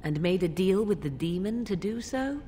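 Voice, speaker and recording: A woman's voice: medium pitch, expressive, moderate pace, dark tone, a little hiss or hum, natural voice. An actress playing a cool, disdainful young woman in a fantasy game, speaking with scorn.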